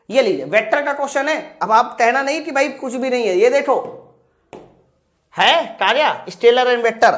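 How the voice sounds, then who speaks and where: A woman teaching a science class, asking questions and answering them herself as she addresses her students. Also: a little high. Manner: addresses students directly, repeating key points. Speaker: science teacher in an online exam-prep class. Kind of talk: live lesson working through practice questions.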